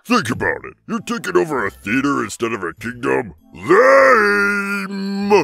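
A man voicing a huge, grumpy turtle-monster villain in a fan cartoon, gravelly and raspy, sarcastic and given to outbursts. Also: fairly high pitch, big pitch swings, measured pace, sharp tone, no echo, heavily acted. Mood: scornful. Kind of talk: animated fan cartoon acting.

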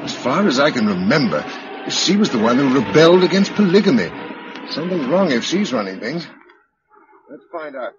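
A dry, animated English survivor. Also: male voice, fairly high pitch, normal speed. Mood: firm. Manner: dry, matter-of-fact. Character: level-headed, dry-witted English male survivor. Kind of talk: radio drama acting. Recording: muffled, noisy radio drama recording.

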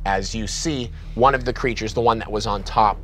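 A man in his thirties runs a horror tabletop game, his voice sitting low. He narrates vividly, often restarting his sentences. A faint hiss sits under the studio sound. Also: normal speed, a bit bright. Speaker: game master.

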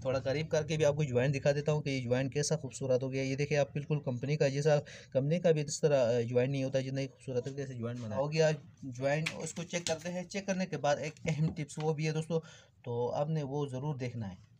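A man's voice: mid-range voice, fairly even intonation, fast, warm tone. A man giving an informal hands-on repair tutorial, explaining things step by step and addressing viewers as friends. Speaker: electrical repair tutorial host. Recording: clearly noisy background with clicks.

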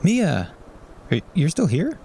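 An actor playing a weary, kind, overworked office colleague in a naturalistic audio drama, earnest and a little hesitant. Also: male voice, a little high, huge pitch swings, takes it slowly, vocal fry, dark tone, slight background noise, heavily acted. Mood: warm, encouraging.

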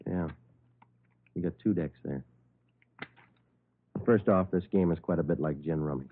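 An actor playing a terse, unflappable police detective in a 1950s radio crime drama, clipped and matter-of-fact. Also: male voice, deep voice, a little slow, a little hiss or hum. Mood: casual, deliberate. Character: terse, unflappable male police detective, narrating his case. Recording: muffled old radio recording.

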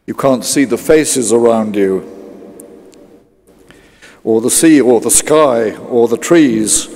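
A man preaching a sermon in a large cathedral, speaking in measured short phrases with deliberate pauses. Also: medium pitch, gravelly, a little mellow. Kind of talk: sermon in a church service. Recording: cavernous cathedral reverb.

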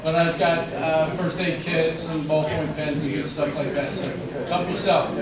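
A man's voice: fairly high pitch, flat delivery, normal speed. An older man presiding over a formal ceremony, reading out names with some hesitant fillers. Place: large community hall. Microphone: handheld mic, far camera. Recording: echoey hall, distant crowd.

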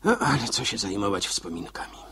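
An actor playing a wistful middle-aged village father in a 1960s radio drama, musing haltingly about his memories. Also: on the low side, big pitch swings, bright, forceful tone, slightly rough, full character voice. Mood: wistful, brooding. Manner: halting, reflective, musing to himself.

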